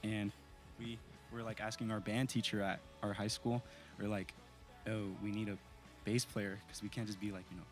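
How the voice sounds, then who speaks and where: Low-voiced laid-back teen guy. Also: moderate pace, faint music behind. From East Bay, California. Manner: relaxed, rambling with many fillers. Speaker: band singer and guitarist. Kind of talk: casual interview chat.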